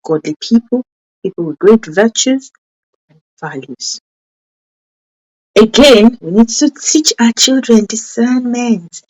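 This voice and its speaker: A woman's voice: animated, takes it slowly, long pauses, a little mellow, low-quality recording. Earnest woman, Yoruba-speaking. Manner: earnest, self-correcting, with sudden rises in pitch for emphasis. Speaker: parenting channel host.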